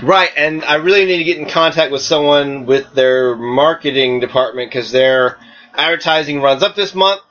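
A man's voice: a little high, normal speed, a bit bright. A man with an American Southern accent, co-hosting a podcast in casual chat with lots of fillers. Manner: rambling, many fillers. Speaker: podcast co-host. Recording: dull lo-fi podcast audio.

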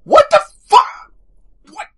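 A married man co-hosting a podcast in casual chat, swearing often and bursting out in exasperation. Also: takes it slowly. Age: adult, married. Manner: profane, exasperated outbursts. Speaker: podcast co-host.

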